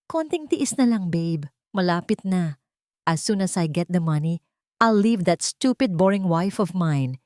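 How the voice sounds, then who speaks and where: A woman's voice: fairly low pitch, expressive, unhurried, darkish tone, dry recording, studio quality. A Filipino woman voicing a cheating husband who tenderly sweet-talks his lover in a storybook romance. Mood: tender, scheming. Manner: tender, coaxing, mixing in English.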